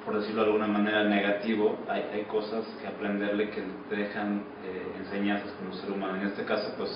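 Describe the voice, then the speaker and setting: A man's voice: lowish voice, monotone, fairly quick. A Mexican man in his thirties, an actor, giving casual answers in an interview. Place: small office indoors. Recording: very echoey, muffled, noisy, distant microphone.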